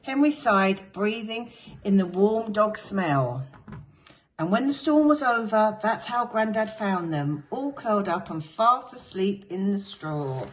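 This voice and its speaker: A woman's voice: mid-range voice, lively intonation, measured pace, a little hiss or hum, low-quality recording. A woman teacher reading a picture book aloud to young children, telling the story expressively and dramatically. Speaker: infant school teacher.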